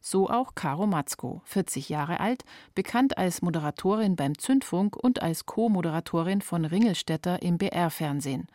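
A woman's voice: lowish voice, animated, moderate pace, hardly any pauses, dark tone, no echo, clean studio sound. A middle-aged woman reading scripted radio feature narration as the reporter.

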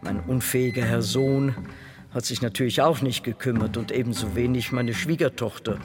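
A woman's voice: rumbling low, steady tone, moderate pace, over music. An actress playing a haughty, dead old mother-in-law who mocks everyone with drawling sarcasm in a German radio drama. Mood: scornful, dismissive. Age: older.

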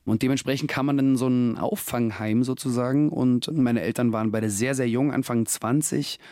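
A man's voice: medium pitch, steady tone, moderate pace, darkish tone, dry recording, studio quality. A man in his late twenties from Russia, a guest talking casually and reflectively, laughing often and using many fillers. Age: late 20s. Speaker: guest, actor and musician. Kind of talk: casual interview talk.

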